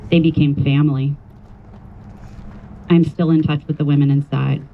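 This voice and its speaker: Even-toned man reading a testimony aloud. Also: on the high side, moderate pace, gravelly. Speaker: rally speaker reading a testimony. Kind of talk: reading a first-person account aloud. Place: outdoor rally near a road. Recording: dull lo-fi audio, faint vehicle noise.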